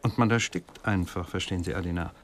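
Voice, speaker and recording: A male actor as a weary professor in a radio play. His lowish voice is measured and sober, explaining carefully over faint ambience. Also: a little hiss or hum, natural voice. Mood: sober, troubled. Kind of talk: radio drama dialogue.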